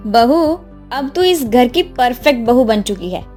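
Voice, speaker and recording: A woman playing a middle-aged mother and mother-in-law who instructs and gently scolds in a Hindi moral story cartoon. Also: on the high side, expressive, unhurried, faint music behind. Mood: pleased.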